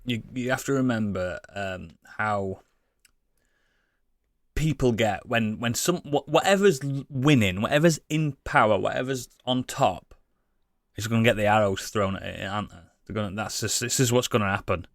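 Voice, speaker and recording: A man's voice: fairly low pitch, normal speed, no room sound, studio quality. A British man chatting casually as a gaming podcast co-host, blunt, sweary and opinionated.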